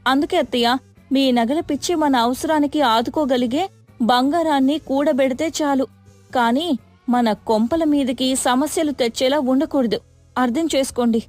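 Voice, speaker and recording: A woman's voice: high voice, moderate pace, a little mellow, faint music behind, lightly acted. A woman voicing a sensible daughter-in-law, firm and explanatory as she advises her elder in a moral story. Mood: firm advice.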